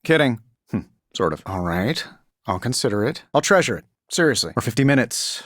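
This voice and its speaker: An actor playing a cocky, quippy superhero inventor in a video game, glib and sarcastic. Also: male voice, mid-range voice, sing-song, a little fast, a bit bright, no room sound, studio quality. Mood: wry, breezy. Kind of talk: video game voice acting, scripted quips.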